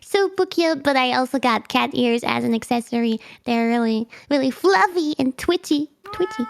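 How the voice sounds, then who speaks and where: A woman's voice: high-pitched, animated, normal speed, creaky. A woman whose first language is German, a streamer guest chatting casually on a video call, bubbly and giggly, often restarting her sentences. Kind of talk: casual interview chat.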